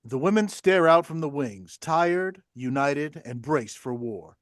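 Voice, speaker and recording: A man's voice: a little high, animated, a little slow. A man reading the stage directions as narrator in a play table read. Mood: measured.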